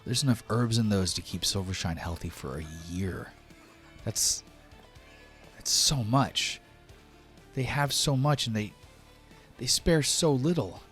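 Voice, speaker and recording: An earnest little fantasy youngster, acted by a man at a game table, pitched up from a deep natural voice. The delivery is hesitant and pleading, with music playing underneath. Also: a little slow, long pauses, a bit bright. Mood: indignant. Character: Lortho, earnest small youngster with flicking ears, pleading.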